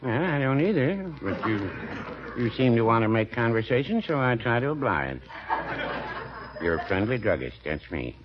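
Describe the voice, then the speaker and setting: An actor playing a mild, dry-witted small-town druggist in a 1940s radio comedy, slow and deadpan. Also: male voice, medium pitch, natural voice. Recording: dull old radio broadcast with loud hiss.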